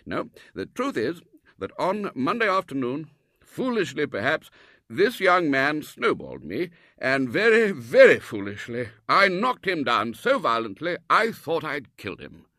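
Brief: man playing a loud, effusive old Colonel, lively swooping voice, high and bright. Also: a little slow, no room sound, clean studio sound, exaggerated voice. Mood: gushing. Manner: booming, gushing, stammering at times. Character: blustering elderly male Colonel, loud and effusive. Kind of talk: storybook reading.

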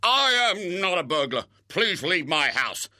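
A man reading aloud and voicing a flustered, indignant middle-aged bachelor who talks loudly. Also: fairly high pitch, big pitch swings, normal speed, dry recording, clean studio sound, voice pushed up, full character voice. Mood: exasperated. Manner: indignant and loud.

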